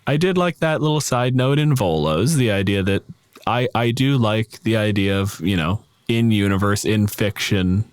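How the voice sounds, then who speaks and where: A man's voice: lowish voice, moderate pace, smooth, no echo. A man talking casually and emphatically about a tabletop game, opinionated and freely profane. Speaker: podcast host.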